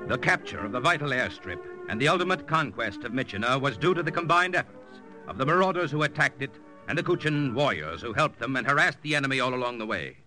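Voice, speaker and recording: A man's voice: medium pitch, lively intonation, fast talker, pushed and bright, low-quality recording. A man narrating a 1940s radio drama in an emphatic, solemn, theatrical style. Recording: old-time radio recording with music.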